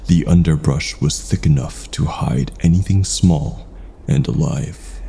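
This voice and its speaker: Male horror narrator: dark, mellow tone, very slow and suspenseful, heavy vocal fry. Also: rumbling low. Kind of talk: scripted first-person horror narration.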